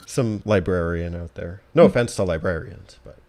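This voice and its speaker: A man's voice: low voice, expressive, measured pace, warm tone. A man hosting a casual interview, informal and encouraging as he thinks his questions through aloud. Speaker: podcast host.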